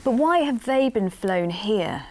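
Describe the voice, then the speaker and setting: A British woman presenting the regional news in a studio, reading crisp scripted links. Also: a little high, lively intonation, a little slow. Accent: British English.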